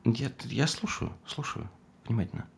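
An actor playing a nagging, quietly menacing husband in stage dialogue, halting and insistent. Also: male voice, mid-range voice, expressive, creaky, slight background noise. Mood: cold, insistent.

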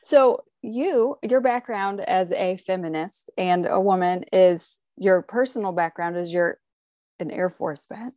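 A woman's voice: mid-range voice, unhurried, mellow, dry recording, clean studio sound. A woman hosting a radio interview, asking questions in a friendly, chatty way. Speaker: radio host.

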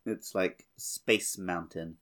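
Man, fairly deep pitch, casual joking chat, gaming podcast co-host. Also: steady tone, takes it slowly, a bit bright.

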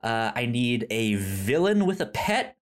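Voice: mid-range voice, big pitch swings, unhurried. A podcast co-host chatting casually about film, often stammering and repeating syllables and words. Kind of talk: casual film review chat.

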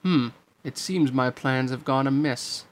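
A man voicing a smug, sneering, scheming sorcerer with a grating voice, gloating with drawn-out menace in a storybook reading. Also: medium pitch, a little hiss or hum, natural voice.

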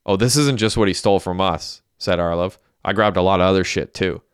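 A man's voice: low-pitched, fairly quick, smooth, no echo, clean studio sound, lightly acted. A man voicing a brash, mouthy young man in an audiobook, who mocks the others and swears. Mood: smug. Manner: mocking, swearing.

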